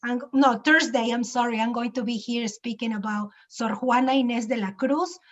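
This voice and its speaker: Woman from Veracruz, Spanish first language, Mexican accent, halting and grateful, video call audio. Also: a little high, fairly even intonation, a bit bright, muffled recording. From Mexico, Veracruz coast. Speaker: conference guest. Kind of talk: casual chat on a video call.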